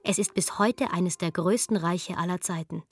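A woman's voice: fairly low pitch, normal speed, slightly rough, no echo, faint music behind. A woman playing an archaeologist who narrates history in a radio drama, wry and matter-of-fact.

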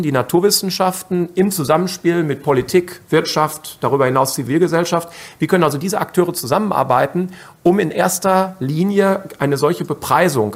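A male economist explains his point in an interview clip, posing rhetorical questions as he goes. His voice sits a little higher than usual for a man. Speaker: university president, economist. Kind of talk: interview soundbite.

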